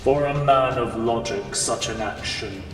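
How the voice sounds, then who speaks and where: A man voicing a cold, grieving supervillain with a slow, menacing, formal delivery in an audio drama. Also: medium pitch, roomy sound, in a large hall, voice pushed down, natural voice. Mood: cold threat. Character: cold, grieving male supervillain in a frost suit. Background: music.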